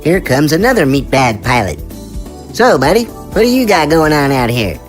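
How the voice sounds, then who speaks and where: An actor playing a wisecracking space crewmate who is not human. The pitch swings about freely as the cheerful, folksy patter rolls along in an audio drama skit, over faint music. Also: big pitch swings, normal speed, natural voice. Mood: chatty, breezy.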